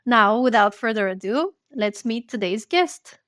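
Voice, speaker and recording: A woman's voice: a little high, expressive, unhurried, fairly bright tone, no room sound, clean studio sound. A woman hosting a podcast, interviewing in a conversational, informal and friendly way. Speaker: podcast host.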